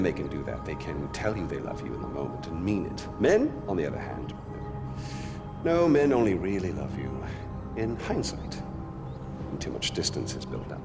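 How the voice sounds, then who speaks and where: Flamboyant, slow-talking game villain, swooping pitch. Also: male voice, creaky, heavily acted. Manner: pedantic, mock-courteous, theatrical, suddenly profane. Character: flamboyant, volatile, genteel middle-aged male tyrant. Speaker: actor playing a video game villain. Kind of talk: theatrical game cutscene monologue.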